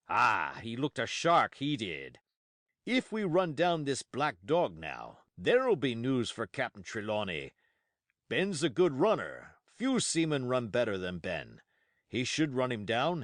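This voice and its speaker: A man voicing a hearty, cunning one-legged sea cook who talks in a bluff, booming pirate manner. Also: huge pitch swings, slow, a bit bright, no room sound, studio quality, heavily acted. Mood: excited.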